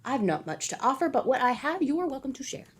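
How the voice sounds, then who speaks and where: A woman voicing a kindly, poor old man who plays the harp, speaking gently and earnestly in a storybook. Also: sits high, big pitch swings, fast talker, husky, voice pushed up, full character voice.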